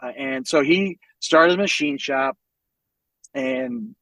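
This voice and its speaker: Animated, swearing man telling family stories. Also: on the high side, slow, vocal fry, dry recording, poor audio. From United States, Northwest Indiana. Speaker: podcast guest. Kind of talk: casual storytelling conversation.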